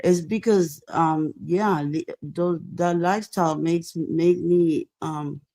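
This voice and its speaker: Mellow Cuban-accented woman telling stories casually. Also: lowish voice, steady tone, takes it slowly, no echo. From Cuba. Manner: informal, peppered with swearing. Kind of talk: casual personal storytelling.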